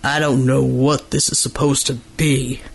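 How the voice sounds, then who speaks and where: Husky, gruff giant of a dockworker acted by a man. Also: little pitch movement, measured pace. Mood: suspicious, angry. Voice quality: gruff, rumbling. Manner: folksy working-class American speech, defiant. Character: huge, gruff older dockyard foreman, proud and hot-tempered. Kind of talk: audiobook character dialogue.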